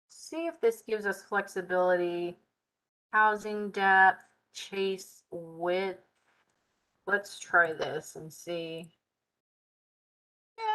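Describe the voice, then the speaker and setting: A woman hosting a live software tutorial, thinking aloud as she works, her voice tired and hoarse, chatty with a Southern "y'all". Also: mid-range voice, low-quality recording. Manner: chatty, Southern "y'all", muttering while working.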